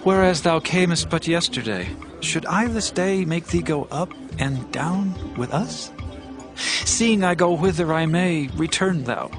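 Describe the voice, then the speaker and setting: A man's voice: a little high, a little slow, over music. A man playing a commanding yet gracious biblical king in a dramatized scripture recording, declaiming his words. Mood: earnest, gracious. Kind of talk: dramatized Bible audio acting.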